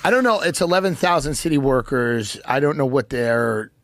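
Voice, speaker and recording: A man's voice: mid-range voice, normal speed, a bit bright, no echo, studio quality. An American man in his thirties chatting as a podcast guest in a casual, sardonic, joking way. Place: indoor podcast studio. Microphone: close dynamic microphone on arm, headphones.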